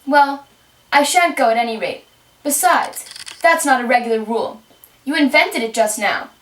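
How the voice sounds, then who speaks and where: A woman's voice: sits high, normal speed, fairly bright tone. A woman voicing a polite, curious young girl with an earnest manner in an amateur puppet show. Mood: indignant. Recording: roomy, distant microphone.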